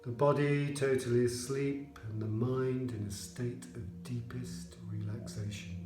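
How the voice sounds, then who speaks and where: A man leading a guided yoga relaxation as an instructor, speaking in a measured, soothing manner with pauses. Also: mid-range voice, steady tone, echoey room, music in the background. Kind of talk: guided relaxation meditation narration.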